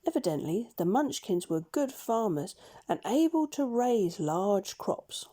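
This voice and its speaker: Mellow-voiced woman reading a children's story. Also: medium pitch, very animated, measured pace. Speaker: storybook reader. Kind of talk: scripted children's story narration.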